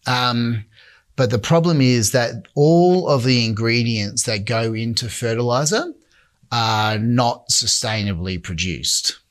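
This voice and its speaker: A man with an Australian accent, a company founder being interviewed, who explains things in a measured way with many ums. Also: mid-range voice. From Northern Territory, Australia.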